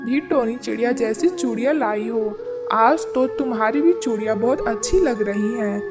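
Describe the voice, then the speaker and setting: A woman's voice: on the high side, animated, moderate pace, voice pushed down, lightly acted. A woman voicing an inquisitive, chatty male parrot in a children's cartoon. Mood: curious, chatty. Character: friendly, inquisitive male parrot, shrewd helper. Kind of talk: scripted children's cartoon story. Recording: music behind the voice.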